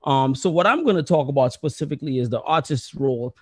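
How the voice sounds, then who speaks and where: A man in his thirties from Trinidad, speaking on a video call with earphones, earnest and halting with repeated words. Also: mid-range voice, lively intonation, slightly rough, no echo, studio quality. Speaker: musician and associate professor. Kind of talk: panel talk.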